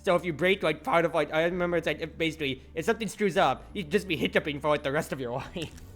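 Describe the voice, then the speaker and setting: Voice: medium pitch, fast, a bit bright. A game streamer chatting casually, enthusiastic and digressive. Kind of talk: casual rambling chat. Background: faint music.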